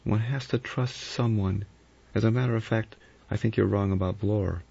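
A man's voice: on the low side, fairly even intonation, normal speed, vocal fry, mellow, breathy edge, muffled recording, pitched above the performer's own voice. A man voicing an anxious young woman in an audiobook, with a pleading, urgent manner. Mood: uneasy. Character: anxious young Englishwoman, frightened and insistent. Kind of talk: audiobook character acting.

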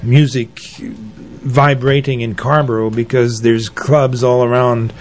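An older man telling anecdotes as an interview guest, in a halting, conversational way. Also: mid-range voice, flat delivery. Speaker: interview guest, arts organizer. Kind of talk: conversational reminiscing.

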